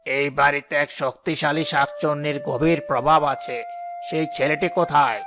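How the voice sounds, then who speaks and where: Horror cartoon exorcist: male actor as stern, authoritative fakir, gravelly voice over a music bed. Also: a little high, steady tone, normal speed, natural voice. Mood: grave reassurance. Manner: grave, authoritative, reassuring to the frightened.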